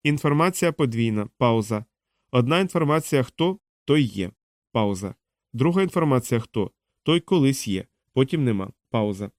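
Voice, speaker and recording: Audiobook sci-fi computer, acted by a man, indifferent and fragmentary, each word measured apart, medium pitch. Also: lively intonation, no room sound, studio quality, natural voice. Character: indifferent translating machine speaking in broken fragments.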